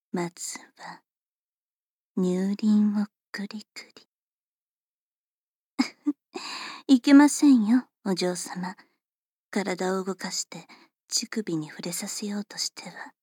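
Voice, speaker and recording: A woman voicing a polite, teasing female servant who attends a young lady, speaking in formal, unhurried whispers for an ASMR roleplay. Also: mid-range voice, a little mellow, husky, dry recording, clean studio sound.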